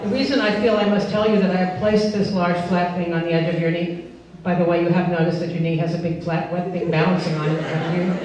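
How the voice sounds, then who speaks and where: A woman's voice: on the low side, steady tone, fast, close to an everyday voice. A woman voicing an obsessive, pushy, self-centred dog with pompous, insistent phrasing in a live comic story reading. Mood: earnest, coaxing. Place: live benefit event before a laughing audience. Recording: echoey noisy hall, far from mic.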